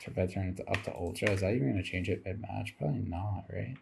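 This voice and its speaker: A man's voice: deep voice, fast talker, gravelly, background noise. A tired man giving rambling, casual commentary over game footage, musing aloud as he goes and swearing casually.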